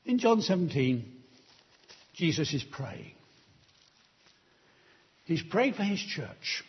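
A man preaching a sermon in a church, delivering slow, emphatic appeals to the congregation. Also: fairly high pitch, sing-song, breathy edge, highs cut off.

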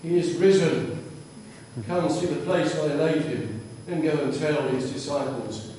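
Male prayer leader, mellow and devout, distant in a very reverberant church. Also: on the high side, a little slow, a little hiss or hum. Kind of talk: read prayer. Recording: distant mic in echoey church.

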